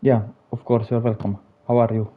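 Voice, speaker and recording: A man's voice: medium pitch, level tone, a little fast, phone-quality sound, slight background noise. A man from Finland streaming a game and chatting casually with viewers in short, laid-back remarks. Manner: laid-back, brief murmured remarks and idle vocalizing. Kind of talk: casual chat with viewers while gaming.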